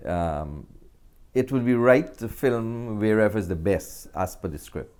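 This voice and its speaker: Low-voiced Guyanese man, pausing as he talks. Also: expressive, darkish tone, clear, resonant tone. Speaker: interview guest, actor and filmmaker. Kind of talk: casual interview talk.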